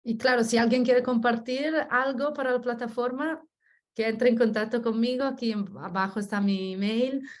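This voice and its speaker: A woman's voice: fairly high pitch, steady tone, moderate pace. A woman from Italy with an Italian accent, a native Italian speaker giving an academic presentation as a researcher, often searching for words and correcting herself. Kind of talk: academic presentation in Spanish as a second language.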